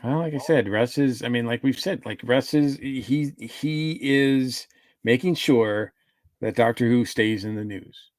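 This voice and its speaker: A man co-hosting a podcast, giving his opinions casually in a halting, measured way. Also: medium pitch, no echo, clean studio sound. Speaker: podcast host.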